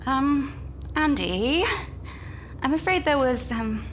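A woman's voice: high-pitched, slow pace. A woman playing a blunt, sceptical female crew member in a live sci-fi comedy audio drama. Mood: curious. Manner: blunt, questioning, animated. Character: sceptical, blunt spaceship crewwoman. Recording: live stage recording with faint noise.

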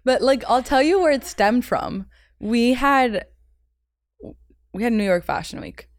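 A woman's voice: fairly high pitch, expressive, no room sound, clean studio sound. A woman in her twenties, a personal assistant, chatting playfully and teasing the host. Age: 20s. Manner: playful, teasing, quick with comebacks. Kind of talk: casual chat.

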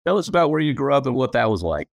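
A man's voice: mid-range voice, sing-song, rapid-fire, creaky, dry recording, clean studio sound. A man co-hosting a podcast, chatty and rambling, with a self-deprecating manner. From United States. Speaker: podcast co-host. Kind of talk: casual interview chat.

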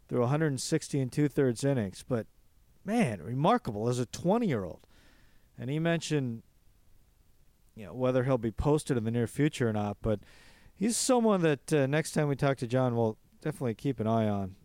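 A man's voice: on the high side, a little mellow, no room sound. A man hosting a baseball show, talking casually and eagerly while reeling off player statistics. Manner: enthusiastic, reels off stats. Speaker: baseball podcast host. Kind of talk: casual sports talk monologue.

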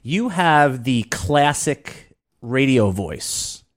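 A man's voice: mid-range voice, very animated, extremely slow. A man hosting a casual fantasy sports podcast, rambling and restarting his sentences often. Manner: rambling, with many restarts and filler words. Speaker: podcast host.

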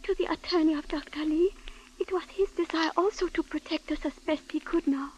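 A woman's voice: very high voice, fairly even intonation, brisk, slight background noise. A woman playing an earnest, deferential Chinese immigrant in a 1940s radio drama, speaking formally and carefully. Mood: earnest pleading. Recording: dull old radio broadcast.

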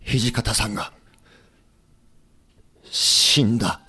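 An actor playing an anguished, idealistic leader of a doomed republic in a Japanese period voice drama, with a husky, breathy voice. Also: male voice, lowish voice, very slow, pushed and bright, heavily acted. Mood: stunned, grieving.

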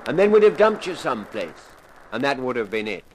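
An actor playing a courteous but coldly menacing yacht captain in a 1950s radio crime drama. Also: male voice, mid-range voice, big pitch swings, a little fast, rough recording.

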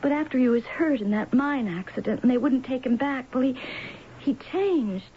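An actress playing a frightened, desperate young wife in a 1970s radio drama, with halting, anxiously repeated words. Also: female voice, high voice, animated. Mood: troubled. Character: frightened, desperate young wife fearing her imprisoned husband. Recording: muffled, hissy 1970s radio broadcast.

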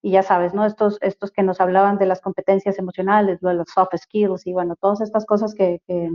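A woman's voice: mid-range voice, level tone, brisk. Reflective Costa Rican woman, lo-fi audio. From Costa Rica. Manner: reflective, many fillers and restarts, searching for words. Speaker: guest, human rights professional. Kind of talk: casual interview talk. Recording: dull lo-fi audio.

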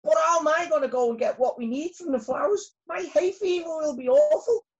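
A woman acts out a nervy male bee inventor from a children's storybook. He is fretful and dramatic, talks in homely colloquial phrases, and his pitch jumps high and swings widely. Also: very animated, normal speed, dull audio, close to an everyday voice. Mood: fretful, whining. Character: anxious, reluctant male bee inventor with hay fever.

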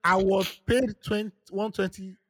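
A young Nigerian man co-hosting a podcast, retelling stories with slow, emphatic stress. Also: high-pitched, flat delivery, slightly rough. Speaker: podcast co-host. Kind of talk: casual chat.

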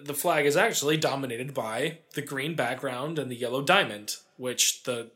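A man with a bright, crisp-edged voice and a middling pitch hosts a podcast. He moves between prepared storytelling and casual, wry asides, keeping a conversational tone. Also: normal speed. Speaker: podcast host. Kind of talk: casual storytelling about sports history, part scripted.

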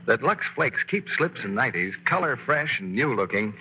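A man's voice: medium pitch, very animated, moderate pace, slight background noise. A man working as a radio announcer, giving station identification and reading a commercial in an upbeat salesman's manner. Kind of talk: scripted announcing and commercial read. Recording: dull 1940s radio broadcast.

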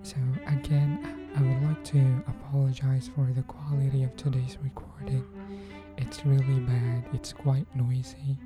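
A woman hosting a podcast, thanking her listeners in a soft, often near-whispered, unhurried voice. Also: extremely low pitch, little pitch movement. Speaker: podcast host. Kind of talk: thank-you message to listeners. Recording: cello music playing under the voice.

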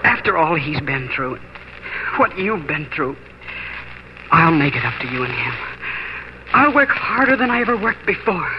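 A man's voice: fairly high pitch, very animated, moderate pace, pauses often. A man acting a devoted, eager young farmer husband in a 1940s radio drama, fervent and emotional. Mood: earnest, emotional. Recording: dull, noisy 1940s radio recording.

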